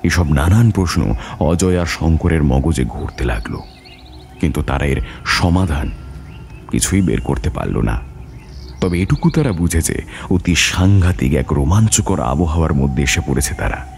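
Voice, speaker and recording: A man's voice: extremely low pitch, big pitch swings, normal speed, vocal fry, a little mellow, slight background noise. A man narrating an adventure story as a scripted, dramatic audio tale.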